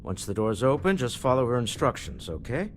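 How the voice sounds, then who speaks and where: A man's voice: mid-range voice, very animated, brisk, slight background noise, close to an everyday voice. An actor playing a male companion with dry, self-aware humor. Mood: wry. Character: wry, upbeat male companion, middle-aged.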